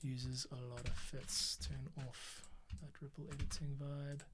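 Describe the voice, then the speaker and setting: A man's voice: mid-range voice, little pitch movement, a little slow, noisy background. A man thinking aloud as he edits a podcast in a tutorial, muttering to himself and sometimes half-whispering. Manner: muttering to himself, often half-whispered, humming snatches of sound. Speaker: podcast editor giving a behind-the-scenes tutorial. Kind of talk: thinking aloud while editing audio.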